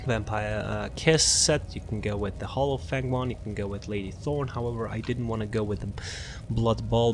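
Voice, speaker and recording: A man giving casual, off-the-cuff video game commentary as he presents a build guide, rambling and repeating his phrases. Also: fairly low pitch. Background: music.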